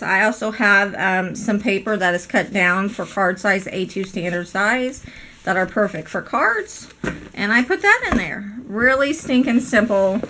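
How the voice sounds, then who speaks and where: A woman hosting a casual crafting vlog, hoarse from a cold, chatty and apologetic with many ums. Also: medium pitch, background noise. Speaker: craft channel host. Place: indoor craft room. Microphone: overhead camera, speaker off screen.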